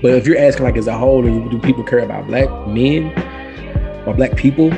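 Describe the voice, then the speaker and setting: A man's voice: medium pitch, fairly quick, vocal fry, music in the background. A man speaking as a podcast guest, reasoning aloud in a casual, rambling debate style.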